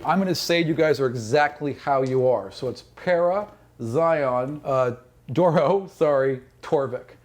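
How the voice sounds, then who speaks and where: A man's voice: mid-range voice, unhurried. A man running a tabletop fantasy game as game master, narrating descriptively and voicing sound effects.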